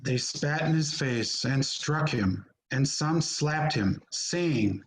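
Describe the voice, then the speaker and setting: A man narrating scripture from a script in a deliberate, solemn manner. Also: mid-range voice, animated, low-quality recording. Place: remote recording on a video call. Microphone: video call.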